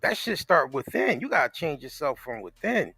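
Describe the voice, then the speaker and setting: A man's voice: a little high, very animated, moderate pace, vocal fry, pushed and bright, slightly rough, soft background music. An American man co-hosting a casual talk show, speaking in an emphatic, repetitive, slangy and profane way. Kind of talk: casual opinionated chat.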